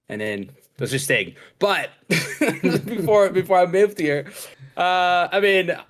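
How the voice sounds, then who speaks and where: A young man from Mexico, a podcast guest talking casually on a video call, rambling with many fillers and restarts. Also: mid-range voice. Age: young adult. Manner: rambling, laid-back, lots of fillers and restarts. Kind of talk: casual chat.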